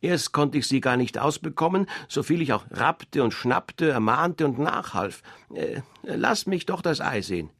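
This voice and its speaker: An old, gossipy duck lady, put on by a man reading a storybook, full of knowing advice in a slightly raised, husky voice. The sound is dry and close. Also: medium pitch, sing-song, unhurried, fairly bright tone, no echo, voice pushed up, exaggerated voice. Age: older. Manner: know-it-all, advising.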